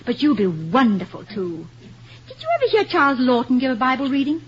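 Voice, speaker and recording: An actress in an old-time radio comedy-drama playing the warm, witty wife of a college president, affectionate and gently arch. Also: female voice, high voice, very animated, brisk. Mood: teasing, fond. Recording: muffled, noisy old radio recording.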